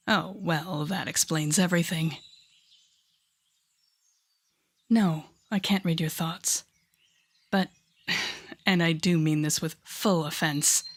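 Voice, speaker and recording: Woman playing a husky, low-voiced sardonic villainess. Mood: sardonic, threatening. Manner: mocking, theatrical, deliberate with dramatic pauses. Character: sardonic, menacing telepathic woman villain taunting a rookie hero. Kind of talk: audio roleplay acting addressed to the listener.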